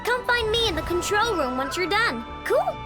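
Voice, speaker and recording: Cheeky young girl inventor, girlish very high voice, lively lilt, breezy, self-assured, game acting. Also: normal speed, over music. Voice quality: childlike, girlish. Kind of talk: animated video game voice acting.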